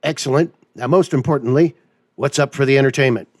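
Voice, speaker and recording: A man's voice: mid-range voice, brisk, no echo, studio quality. A man hosting a trucking game show in a theatrical, upbeat announcer manner. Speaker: host playing a trucking game. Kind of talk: playful pulp-hero show hosting.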